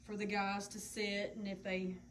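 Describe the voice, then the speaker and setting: A woman's voice: medium pitch, monotone, moderate pace. A woman in her thirties with a Southern American accent, a boutique owner answering questions plainly in her large store, filmed on a phone a few steps away. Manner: plain, matter-of-fact answers. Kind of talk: casual live interview. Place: large indoor clothing boutique. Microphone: phone camera a few steps away. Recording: store hum, audible background noise.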